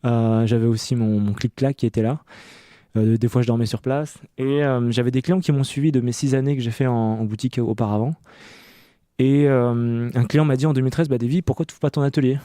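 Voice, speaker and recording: Mellow-voiced man telling his story haltingly. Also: mid-range voice, steady tone, no echo, clean studio sound. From France. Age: early 30s. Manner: hesitant, halting delivery with many self-repetitions. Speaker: interview guest, clothing maker. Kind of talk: conversational personal storytelling in an interview.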